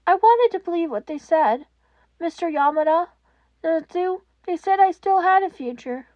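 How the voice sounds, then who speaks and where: A woman voicing a despairing teenage boy who speaks softly, in trembling near whispers. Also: very high-pitched, expressive, moderate pace, dry recording, highs cut off, voice pushed up. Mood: pleading.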